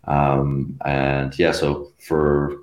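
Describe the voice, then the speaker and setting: A man's voice: extremely low pitch, completely flat delivery, extremely slow, darkish tone. A young man from Canada, a guest musician chatting casually in an interview, rambling with lots of fillers and laughing between phrases. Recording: roomy echoey room.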